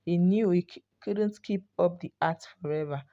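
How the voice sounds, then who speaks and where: A woman's voice: fairly low pitch, normal speed, lo-fi sound. A woman telling a folktale as scripted narration, in the role of storyteller.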